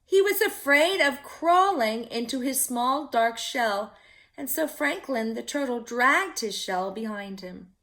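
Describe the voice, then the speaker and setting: A woman's voice: a little high, animated, slow, pushed and bright. A woman storyteller reading a picture book aloud expressively, with simple asides addressed to young children.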